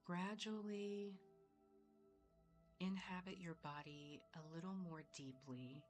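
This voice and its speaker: Female meditation guide, low register, very unhurried and soothing, soft music underneath. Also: fairly even intonation. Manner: soothing, measured, with long pauses. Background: soft background music.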